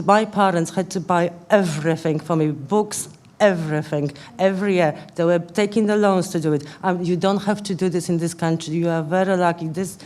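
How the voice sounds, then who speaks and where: Woman, Polish accent, slightly low voice; political panellist answering an audience, earnest and halting. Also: darkish tone. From Poland. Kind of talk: answering audience questions in a public debate. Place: live public question-time event indoors.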